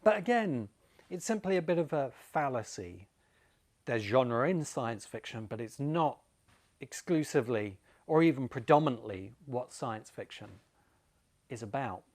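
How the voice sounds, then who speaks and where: A man with a medium-pitched voice delivers an explanatory lecture as a presenter and teacher. He stresses key words firmly and phrases his points deliberately, leaving dramatic pauses between them. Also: animated, a little mellow. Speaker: presenter and writing course teacher. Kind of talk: explanatory video essay, semi-spontaneous lecture.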